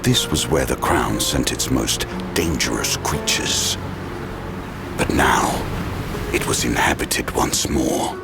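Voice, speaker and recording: A man's voice: very deep voice, creaky. A man reading scripted, dramatic horror narration for an audio drama.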